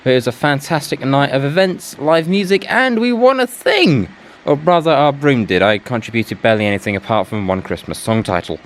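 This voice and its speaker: A man's voice: medium pitch, animated, a little fast. A man giving casual travel vlog narration in a chatty, self-deprecating way. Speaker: travel vlogger.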